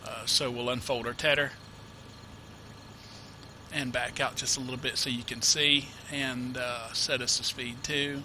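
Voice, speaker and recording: A man with a mid-range voice talks through a farming game tutorial in a loose, rambling way, full of "uh"s and restarts. He has a cold and sniffles now and then. His home desk mic carries a steady hiss of background noise. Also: medium pitch, a little slow. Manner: rambling, self-correcting, many uh fillers. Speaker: gameplay tutorial host. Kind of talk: casual let's-play commentary.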